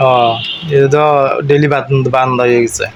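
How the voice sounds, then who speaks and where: A man's voice: medium pitch, flat delivery, moderate pace, dull audio. Man chatting over a very noisy call. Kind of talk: casual intimate phone chat. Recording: noisy video call recording, vehicle sounds.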